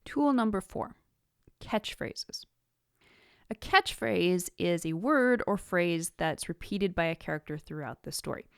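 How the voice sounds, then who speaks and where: A woman hosting an instructional podcast, reading a prepared script in an articulate, measured way with emphasis on key words. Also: fairly low pitch, lively intonation, gravelly, smooth, dry recording, studio quality. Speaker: podcast host and writing teacher.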